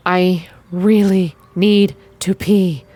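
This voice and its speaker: A woman's voice: fairly low pitch, steady tone, very slow, mellow. Woman voicing an impatient, exasperated young woman. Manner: exasperated, pointed. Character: impatient, tired young female travel companion.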